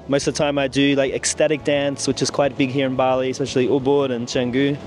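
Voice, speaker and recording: A man, answering interview questions outdoors into a handheld mic in a relaxed way, thinking aloud. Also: medium pitch, fast talker, slight background noise. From Australia. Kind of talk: casual street interview. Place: outdoors on a beach. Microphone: handheld mic held by interviewer.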